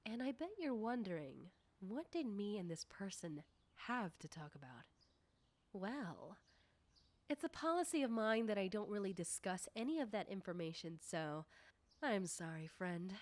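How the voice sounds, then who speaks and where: A woman playing a confident, nosy popular high school girl in an ASMR roleplay, talking coyly and teasingly to the listener. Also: no room sound, lightly acted. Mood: coy, playful.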